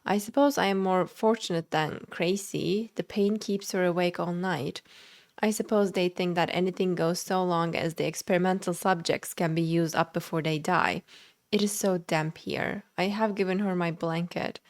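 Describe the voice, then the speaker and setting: A woman's voice: on the low side, steady tone, normal speed. A woman livestreaming a video game, commenting and reading the in-game text aloud. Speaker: game streamer.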